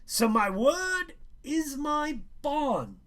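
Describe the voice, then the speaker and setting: A man's voice: very high voice, big pitch swings, extremely slow, fairly bright tone, pitched above the performer's own voice, full character voice. A man voicing a stern, vain male schoolteacher in a storybook reading, speaking in a pompous, condescending and emphatic way. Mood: self-important.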